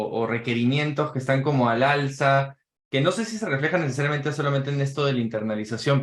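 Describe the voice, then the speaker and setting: Male moderator in an echoey room. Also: medium pitch. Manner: backchannels, rambling questions with restarts. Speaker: panel moderator. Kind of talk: moderating a panel.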